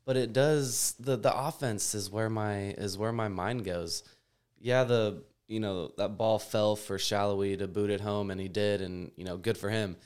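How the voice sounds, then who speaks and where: A man hosting a soccer podcast in casual, unscripted group discussion, often thinking aloud in a halting way. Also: lowish voice, steady tone, darkish tone, clear, resonant tone. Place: in-person group podcast setup. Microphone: podcast microphone.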